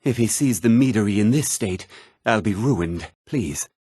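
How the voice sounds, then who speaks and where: A haughty fantasy-game meadery owner, forever exasperated. His voice is on the low side and swings animatedly, with a slightly husky edge. He sounds self-important and theatrical. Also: expressive, normal speed, warm tone, dry recording, clean studio sound. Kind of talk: video game character dialogue.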